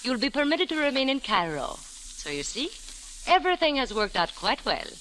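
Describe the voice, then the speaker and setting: A crisp-edged, very animated female spymaster, scheming and condescending. Her phrasing is formal and silky, in old radio-thriller style with a faint hiss. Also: high-pitched, normal speed, poor audio. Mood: smooth, condescending. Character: alluring, scheming female spymaster, coolly mocking. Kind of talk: 1940s radio acting.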